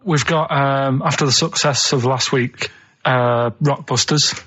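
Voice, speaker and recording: A man's voice: mid-range voice, steady tone, a little slow, fairly bright tone, slightly rough. A man presenting on radio, chatting casually in a hesitant, plain-spoken, earnest way. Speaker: radio show presenter running a quiz. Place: radio studio. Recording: slightly dull lo-fi radio sound.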